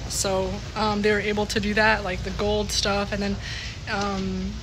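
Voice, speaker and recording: A woman's voice: medium pitch, monotone, a little slow. A young woman chatting casually and expressively to a phone camera at arm's length, outdoors on a patio. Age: young adult. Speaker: vlogger. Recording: noisy outdoor phone recording.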